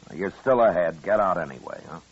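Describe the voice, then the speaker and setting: An actor playing a firm, weary, peacekeeping US marshal, blunt and laconic, in a radio western. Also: male voice, low-pitched, expressive, quick pace, husky, highs cut off. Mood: commanding. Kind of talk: 1950s radio western acting. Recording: band-limited old radio recording.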